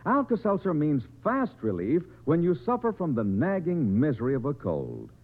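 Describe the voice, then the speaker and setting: A man delivers an old radio commercial in a polished, emphatic announcer style. His pitch swings very widely from a slightly high base. The sound is thin and band-limited, like a 1950s broadcast. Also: wildly swooping pitch, moderate pace, narrow, tinny audio.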